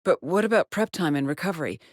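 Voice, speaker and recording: An actress playing a haunted, weary woman astronaut stranded alone on an alien world in a video game, with a breathy voice and a grave, brooding delivery. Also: fairly low pitch, animated, quick pace, no echo, studio quality. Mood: anxious, guarded. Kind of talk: dramatic game voice lines, monologue logs.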